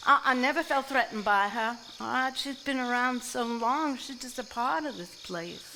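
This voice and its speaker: A woman's voice: a little high, normal speed, pushed and bright, poor audio. An actress playing a folksy, sly and guarded country woman in a live stage drama, with a Southern US accent. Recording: live stage recording, hiss and night insects.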